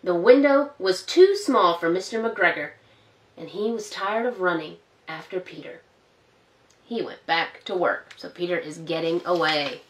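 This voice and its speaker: A woman librarian reads a storybook aloud for an online story time, gentle and expressive. She stops now and then to ask the children questions. The room is roomy and echoey, and she sits a little back from the mic. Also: on the low side, a little slow, far from the mic. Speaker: school librarian. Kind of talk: reading a picture book aloud to children.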